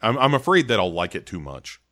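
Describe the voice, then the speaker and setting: A man with a bright, forceful tone recaps a TV episode in casual podcast chat. He talks eagerly and emphatically, often stumbling over repeated starts. His voice sits fairly low. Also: brisk. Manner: energetic, stammering restarts, emphatic. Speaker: podcast co-host.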